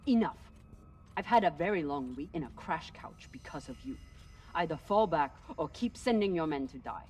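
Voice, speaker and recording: Blunt bossy space captain in a game, voice all over the place, a bit far off. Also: female voice, low voice, lively intonation, normal speed, darkish tone. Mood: stern. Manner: blunt, commanding, barks orders. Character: tough, commanding adult female space captain. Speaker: ship captain. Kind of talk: video game cutscene acting. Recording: distant voice with faint music.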